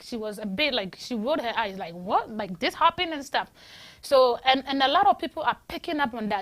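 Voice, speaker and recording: A woman from Ghana hosting a call-in talk show, chatty and emphatic, often restarting her sentences. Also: on the high side. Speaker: radio show host. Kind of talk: casual call-in discussion.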